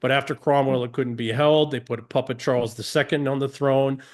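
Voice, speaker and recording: A man's voice: mid-range voice, steady tone, a little fast. A man from the United States, a guest on an interview podcast, speaking emphatically, asking rhetorical questions and often restarting sentences.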